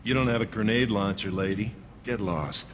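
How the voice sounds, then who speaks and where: A man playing a gruff, dismissive guard. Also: lowish voice, moderate pace, noisy background, close to an everyday voice. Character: surly male checkpoint guard, dismissive.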